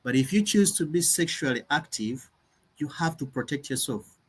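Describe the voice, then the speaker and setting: A man's voice: a little high, lively intonation, moderate pace, slightly rough. A young man from Uganda, a nonprofit founder talking earnestly and reflectively in an interview, often restarting his sentences. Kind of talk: conversational interview.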